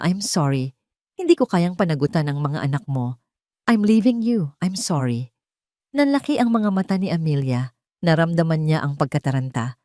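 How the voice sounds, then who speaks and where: A woman's voice: sits low, a little mellow, no room sound, studio quality. A woman narrating a scripted romantic audio drama as the storyteller.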